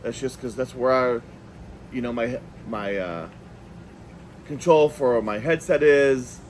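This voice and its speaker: A man giving a casual hands-on product review, talking in a halting way and repeating words as he goes. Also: mid-range voice, slight background noise.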